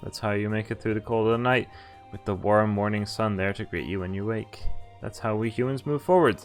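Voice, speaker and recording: A man's voice: fairly low pitch, a little fast. A man streaming a visual novel, reading its text aloud with casual commentary over game music. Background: game background music.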